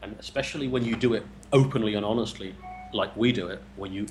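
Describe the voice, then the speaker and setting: A man's voice: on the low side, fast talker, faint music behind. A man from England with an English accent, hosting an interview in a chatty, casual way, often repeating words as he starts a thought. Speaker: interview host.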